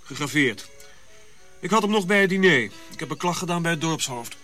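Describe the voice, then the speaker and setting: An actor playing a self-assured Dutch colonial major-general, casually commanding, in a radio drama. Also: male voice, on the high side, animated, a little fast, pushed and bright, soft background music. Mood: brisk.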